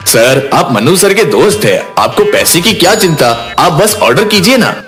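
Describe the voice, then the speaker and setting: A man playing a polite, deferential restaurant waiter in an animated moral story. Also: a little high, normal speed, soft background music. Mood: polite, amused.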